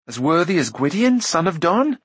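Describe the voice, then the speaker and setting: Brief: man playing a sulky, easily nettled young lad, grumbling indignation, husky, dry. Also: a little high, big pitch swings, normal speed, dry recording, pitched above the performer's own voice. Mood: indignant. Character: young assistant pig keeper, proud and easily nettled.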